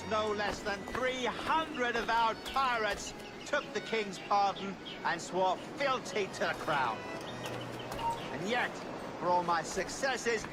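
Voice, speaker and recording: An actor playing a bitter, boastful sacked governor in a period game drama, pompous, sarcastic and oratorical. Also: male voice, high voice, heavy background noise. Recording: loud music over the voice.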